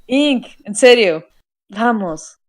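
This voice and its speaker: A woman's voice: fairly high pitch, animated, slow. Giggly woman reading aloud playfully. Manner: giggly, playful, breaking off mid-sentence. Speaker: fan fiction reader. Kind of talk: casual comedic read-aloud with a friend.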